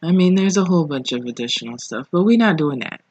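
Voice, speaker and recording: A podcast host speaking casually alone, in an informal, reflective way with pauses between phrases. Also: mid-range voice, animated, darkish tone, husky, dry recording, muffled recording. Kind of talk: casual solo podcast monologue. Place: living room at home.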